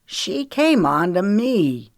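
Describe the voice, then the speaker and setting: A smug, menacing man in an audiobook, played by a woman narrator. He drawls slowly and sounds sure of himself, brushing everyone off. The recording is dry and close. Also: mid-range voice, big pitch swings, vocal fry, no room sound, pitched above the performer's own voice, full character voice. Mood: smug, dismissive. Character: smug, menacing predatory man with Southern folksy talk.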